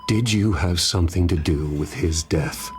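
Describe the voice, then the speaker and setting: An actor playing a grim, brooding wealthy heir in a video game, speaking in a stern, accusing, restrained way. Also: male voice, low-pitched, normal speed, close to an everyday voice. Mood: cold. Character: grim, brooding wealthy heir confronting his parents' enemy. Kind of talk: dramatic video game acting.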